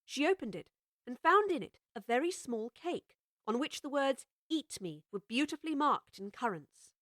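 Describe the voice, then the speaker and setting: A woman reading scripted storybook narration in a measured, expressive way. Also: sits high, no room sound. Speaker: audiobook narrator.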